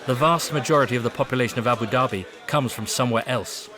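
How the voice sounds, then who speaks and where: A man giving measured, wry scripted documentary narration as a voice-over. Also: medium pitch, a crowd in the background. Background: music.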